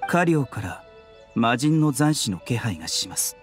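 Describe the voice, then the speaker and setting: A man playing a gentle young physician in an anime game, composed, polite and formal in his measured delivery. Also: medium pitch, very animated, lightly acted. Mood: calm, courteous. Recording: background music under voice.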